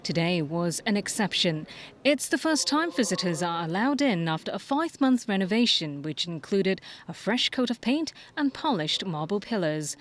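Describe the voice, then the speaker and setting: A woman's voice: mid-range voice, big pitch swings, moderate pace. A woman anchor reading scripted television news.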